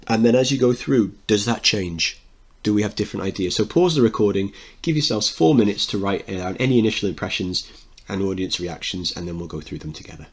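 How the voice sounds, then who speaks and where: A man's voice: on the low side, expressive, brisk, husky. A man teaching a recorded literature lesson, explaining a play and posing questions to students. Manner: explanatory, checks understanding with questions.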